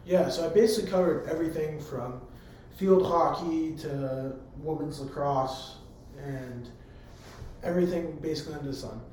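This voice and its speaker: A hoarse young man, a college public address announcer, answering interview questions in an eager, rambling way full of fillers. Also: fairly high pitch, normal speed, darkish tone. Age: young adult. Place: room on a college campus. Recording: very echoey room, faint background hum.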